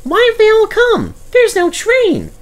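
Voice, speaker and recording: A man voicing a young, eager and excitable little tank engine with a squeaky voice, for a storybook. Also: unhurried, full character voice. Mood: excited.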